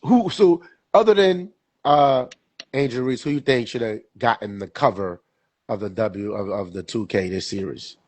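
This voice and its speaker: A young man co-hosting a sports podcast, his voice stuffy and husky from a cold. He rambles along in loose, casual talk, repeating words and leaning on fillers. The sound is dry and close, with no room around it. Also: mid-range voice, moderate pace, darkish tone, dry recording. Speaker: podcast co-host.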